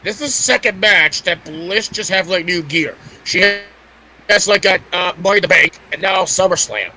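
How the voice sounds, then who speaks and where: A man's voice: high-pitched, expressive, normal speed, pushed and bright, slight background noise. A man co-hosting a casual, opinionated chat, insistently restarting his phrases to make a point. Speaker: podcast co-host.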